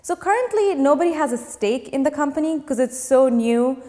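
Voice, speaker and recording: A woman's voice: sits high, animated, moderate pace, warm tone. A young woman from Dubai, a startup founder, answering interview questions in an earnest, step-by-step way. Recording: long reverb.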